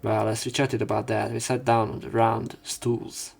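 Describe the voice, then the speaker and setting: A man with a fairly low voice full of vocal fry hosts a let's play. He reads visual novel narration and dialogue aloud. His own commentary is casual. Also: flat delivery, normal speed.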